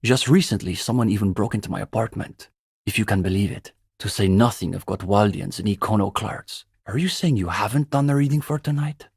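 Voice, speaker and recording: A young student revolutionary for a video game, earnest and self-important. His voice sits fairly low with a husky grain, recorded close and dry. He speaks like a bookish intellectual, precise and given to lecturing. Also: fairly quick, a little mellow, dry recording, heavily acted. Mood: guarded, skeptical. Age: young adult. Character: earnest young male communist student, pompous intellectual zealot. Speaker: student leader of a revolutionary reading group. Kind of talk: video game character dialogue.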